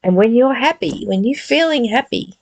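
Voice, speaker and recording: A woman giving a reflective spiritual reading and teaching in an earnest, deliberate, gently exhorting way. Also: on the high side, expressive, rough recording. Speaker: reader and spiritual study teacher. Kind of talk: read-aloud spiritual text with reflective, informal commentary.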